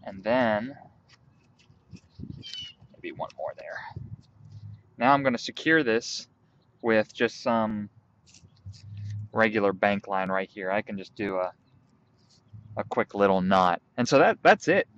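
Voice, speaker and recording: A young man outdoors in a field, calmly walking through a hands-on tutorial step by step while he works with his hands. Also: lowish voice, slow, long pauses, muffled recording, slight background noise. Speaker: bushcraft instructor.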